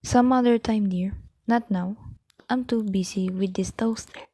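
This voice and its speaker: A woman's voice: medium pitch, a little slow, warm tone, dry recording, natural voice. A woman playing a busy mother, placating but firm, in an amateur radio play. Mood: preoccupied.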